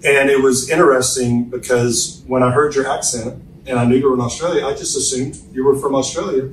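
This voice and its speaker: A man's voice: medium pitch, steady tone, fairly quick, slight background noise. Chatty male podcast host in an echoey room. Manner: friendly, upbeat, talkative. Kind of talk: casual interview. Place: hotel suite. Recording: roomy hotel suite, distant mic.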